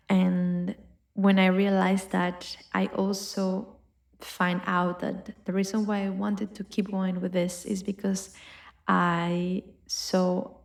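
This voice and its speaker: A woman's voice: on the low side, vocal fry. A young adult woman from Colombia with a Colombian Spanish accent, hosting a podcast in a reflective, upbeat personal monologue. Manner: warm, reflective, upbeat with filler words. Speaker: podcast host.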